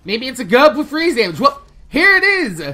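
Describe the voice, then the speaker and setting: Bright, high-voiced woman gaming host. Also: moderate pace. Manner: animated, informal, swears freely. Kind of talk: casual excited game news commentary.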